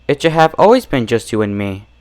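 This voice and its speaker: An unhinged female pony from a dark storybook, played by a man. The delivery is childishly sweet and coaxing, with an obsessive, possessive edge and swinging pitch. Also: big pitch swings, fairly quick, natural voice. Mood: obsessive, dreamy.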